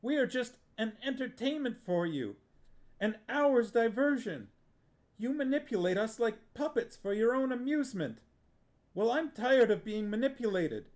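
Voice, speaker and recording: A man reading an audiobook, voicing a young man who is furious and hurt and shouts his anger. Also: high voice, big pitch swings, a little slow, few pauses, pitched above the performer's own voice.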